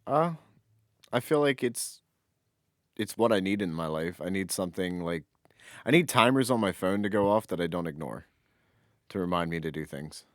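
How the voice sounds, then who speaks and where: Lowish, animated male podcaster, casual and dry. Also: normal speed, pauses often, no room sound. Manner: joking, sweary, animated. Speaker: podcast co-host. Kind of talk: casual banter.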